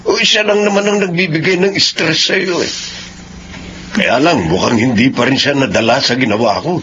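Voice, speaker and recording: A man's voice: mid-range voice, normal speed, fairly bright tone, lightly acted. A man playing a concerned, sympathetic middle-aged elder brother in a Filipino radio drama. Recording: dull radio sound, faint noise.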